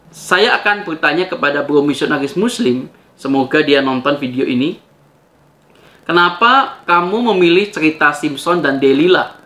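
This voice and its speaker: Bright-voiced, higher-pitched man. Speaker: video host. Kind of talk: spoken rebuttal commentary to viewers.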